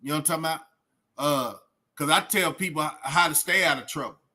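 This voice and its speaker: A man's voice: on the high side, fast talker, sharp tone. A man from Texas, hosting a casual livestream in streetwise slang and giving opinions straight to viewers. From Texas, United States. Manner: streetwise slangy talk with frequent "man" and "bro". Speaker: host of a reaction livestream.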